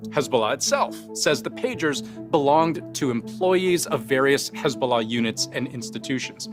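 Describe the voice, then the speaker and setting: A man hosting a news explainer, narrating from a script in deliberate, emphatic phrases with rhetorical questions. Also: mid-range voice, lively intonation. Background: background music bed.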